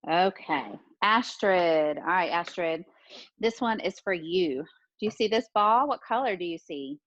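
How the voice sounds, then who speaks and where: A woman's voice: lowish voice, animated, unhurried, a bit bright, no echo, dull audio. A woman teaching pre-kindergarten children interactively, asking questions and repeating their answers in an encouraging way. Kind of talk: interactive lesson for young children.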